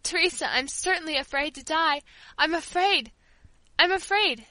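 A woman's voice: sits high, expressive, normal speed, pushed and bright, dry recording, pitched above the performer's own voice, poor audio. A woman voicing a dying young mother, pleading fervently and full of fear, in an audiobook. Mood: fearful, desperate. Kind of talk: audiobook character dialogue.

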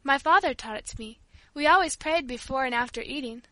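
A woman's voice: high-pitched, a little fast, sharp tone, dry recording. A woman voicing a devout, earnest young country girl in an audiobook, polite, emotional and pleading. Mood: meek, reverent. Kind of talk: audiobook character voice.

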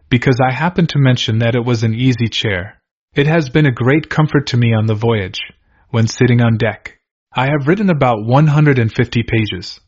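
Male reader, measured diary narration, mid pitch, somewhat dull audio. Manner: measured, steady first-person reading. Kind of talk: reading a diary aloud. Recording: slightly dull lo-fi recording.

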